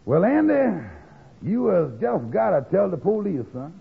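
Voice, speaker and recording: Earnest high-voiced moral adviser. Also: male voice, sing-song, a little slow, gravelly, husky, heavily acted. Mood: earnest, advising. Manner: earnest, folksy, admonishing, ungrammatical. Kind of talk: 1940s-50s radio comedy acting. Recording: tinny, noisy old-time radio recording.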